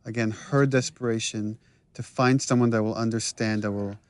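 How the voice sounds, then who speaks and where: A man's voice: lowish voice, steady tone, normal speed, dry recording. A man giving casual, explanatory behind-the-scenes commentary as a creator.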